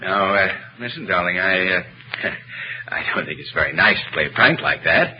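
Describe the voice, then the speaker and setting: Old noisy radio show, flustered american dad, stammery n sorry, voice swoops all over. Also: male voice, sits low, animated, normal speed. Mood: apologetic, hesitant. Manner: hesitant, stammering, nervously polite. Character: flustered, apologetic middle-aged father and anxious host. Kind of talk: 1940s radio comedy acting. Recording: muffled, noisy 1940s radio recording.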